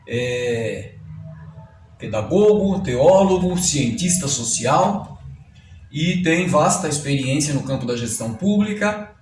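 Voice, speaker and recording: Brazilian male TV host, roomy distant sound. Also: on the high side. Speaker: host of a literary TV interview show. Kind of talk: formal presenter introductions and interviewing. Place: indoors at a publisher's office. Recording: roomy, distant mic, faint background noise.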